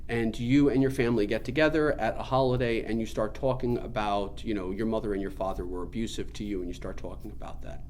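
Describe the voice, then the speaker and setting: A man's voice: fairly low pitch, steady tone, fast talker, hardly any pauses, a little mellow, a little hiss or hum. A man giving an informal spiritual teaching talk, explaining things in an earnest, emphatic way.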